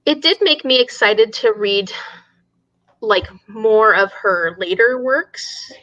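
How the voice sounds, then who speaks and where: A woman's voice: fairly high pitch, slow, pushed and bright, no echo, highs cut off. A woman hosting a casual book discussion in an engaged, agreeable manner.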